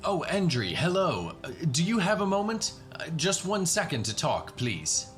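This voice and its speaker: A man's voice: a little high, huge pitch swings, moderate pace, faint music behind, pitched above the performer's own voice. A man voicing a flustered, eager professor who rambles and fumbles politely in a radio-play tabletop game.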